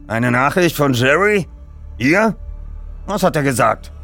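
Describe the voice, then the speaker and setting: A man reading aloud plays a heavyset newspaper boss with a short fuse. He blusters in brusque, dismissive bursts, with lively swings in pitch, as in an audiobook. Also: unhurried, creaky, soft background music, full character voice. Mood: impatient. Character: gruff, heavyset, irritable male chief editor.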